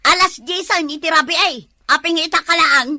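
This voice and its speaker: A woman plays a high-voiced, bright nagging wife who yells across the house and scolds her husband. Her voice is crisp, high and close to the mic, with a dry, dead sound. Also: fairly quick, no echo, heavily acted. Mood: scolding. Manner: shouting, scolding. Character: loud nagging wife who won't bathe. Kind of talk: comedy web drama acting.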